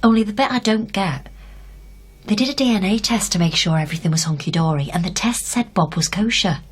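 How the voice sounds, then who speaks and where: Actress as supportive, determined fiancée, radio drama. Conversational, middle-pitched female voice with a soft husk. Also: a little fast, husky, natural voice. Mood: urgent, soothing. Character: determined, reassuring younger woman investigating her fiancé's past. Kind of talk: naturalistic radio drama acting.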